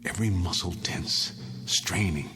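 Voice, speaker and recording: An actor playing a haunted, resigned condemned prisoner in a radio drama, speaking slowly, gravely and deliberately. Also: male voice, sits low. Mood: haunted, grim. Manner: slow, grave, deliberate descriptive delivery. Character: condemned male prisoner, haunted, resigned, obsessively dwelling on execution. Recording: radio drama with clear music bed.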